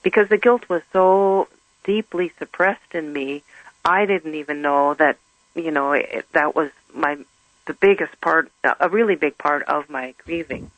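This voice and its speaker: A middle-aged woman whose first language is German, speaking earnestly and haltingly as an interview guest. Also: low-pitched, dry recording, narrow, tinny audio. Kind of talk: personal testimony in conversation.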